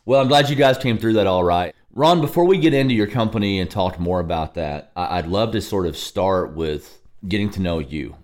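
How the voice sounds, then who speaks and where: Low-voiced curious male host. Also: expressive, brisk, hardly any pauses. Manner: curious, asks short follow-up questions, light laughs. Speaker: interview host. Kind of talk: casual interview conversation.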